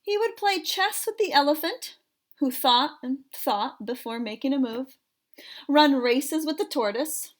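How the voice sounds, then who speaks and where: High-pitched woman reading a storybook. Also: animated, a little slow. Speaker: storybook reader for children. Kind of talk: expressive read-aloud narration of a picture book.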